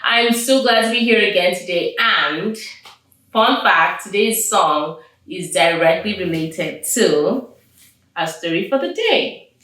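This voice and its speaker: A woman hosting a children's read-aloud, expressive and animated, mixing storybook reading with chatty questions to young listeners. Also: on the low side, slow pace. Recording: very echoey room, distant mic.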